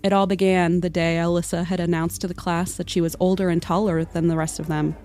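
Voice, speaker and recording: A woman's voice: lowish voice, little pitch movement, a little fast, darkish tone. A woman narrating a scripted comedic tale in a mock-dramatic, literary manner. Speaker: podcast storyteller. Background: music under the voice.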